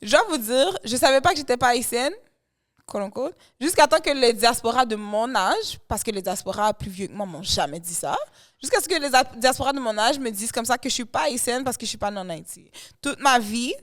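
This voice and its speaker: A woman's voice: on the high side, expressive, a little fast. A woman, a guest singer chatting animatedly in an interview and mixing in English phrases. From Canada. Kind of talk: casual interview chat.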